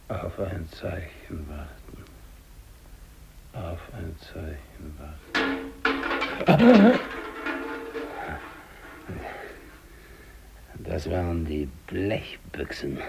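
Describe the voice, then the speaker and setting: An actor playing a dying, despairing English polar explorer alone, brooding and halting, in a 1950s German radio drama monologue. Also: male voice, sits low, noisy background, heavily acted. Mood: hushed, resigned. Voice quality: gravelly, husky. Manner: halting, brooding, repeats words, hushed to declamatory.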